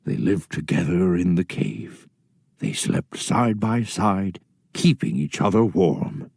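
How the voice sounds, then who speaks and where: A man's voice: sits low, expressive, takes it slowly, vocal fry, a little mellow, husky, dry recording. A man reading scripted story narration as a narrator in a children's readers theatre.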